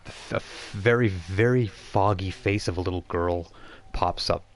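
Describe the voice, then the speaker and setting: A man working as game master, improvising narration for a tabletop role-playing game in a halting, conversational way. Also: deep voice. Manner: halting, conversational, thinking aloud.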